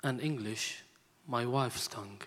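A male missionary whose first language is Arabic, praying a benediction slowly and solemnly at a medium pitch. His voice rings out with a long reverb in a large hall. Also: a bit bright. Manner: slow, solemn, measured. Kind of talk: slow prayerful benediction.

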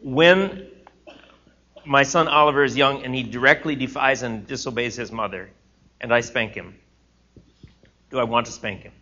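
Bright-voiced man teaching a class. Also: medium pitch, moderate pace, pauses often, muffled recording. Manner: earnest, thinking aloud with restarts. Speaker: teacher leading a class discussion. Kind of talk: classroom lecture with questions.

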